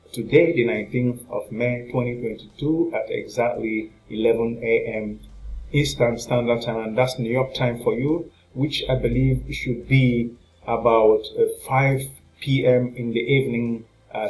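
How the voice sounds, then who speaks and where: A man's voice: mid-range voice, a little mellow, rough recording. A man hosting an unscripted online product review, speaking to viewers in a salesy, repetitive and hesitant way. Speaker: online marketing product reviewer and channel host.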